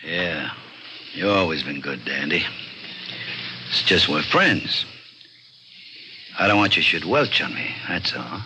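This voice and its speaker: Casting: menacing racketeer, old radio crime drama; low, swooping delivery, tough slangy talk, hissy vintage recording. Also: male voice, sing-song, slow, long pauses, exaggerated voice. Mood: quietly menacing. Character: menacing male gambling boss, coolly threatening.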